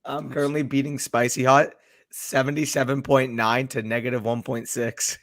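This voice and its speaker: A young man whose voice is hoarse and worn, sitting at a mid pitch. He chats loosely, teasing his co-host with plenty of slang. The recording is dry. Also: flat delivery, normal speed, fairly bright tone, no room sound. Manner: playful teasing, heavy slang. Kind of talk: casual livestream chat.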